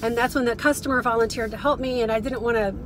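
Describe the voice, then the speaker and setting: A woman's voice: on the high side, fairly even intonation, fast, faint music behind. A middle-aged woman chatting casually to viewers in a rambling, chatty way, recorded inside a car. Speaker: vlogger, thrift reseller.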